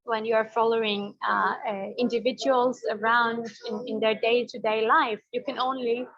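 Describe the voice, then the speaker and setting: A woman's voice: a little high, flat delivery, normal speed, fairly bright tone. A woman documentary filmmaker answering thoughtfully in a festival interview, often searching for words. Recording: dull lo-fi audio.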